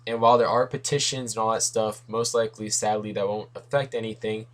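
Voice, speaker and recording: A man's voice: on the low side, flat delivery, fairly quick. A young man hosting a comics talk video in a casual, rambling way, his voice worn from losing it this week. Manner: rambling, self-correcting, lots of asides.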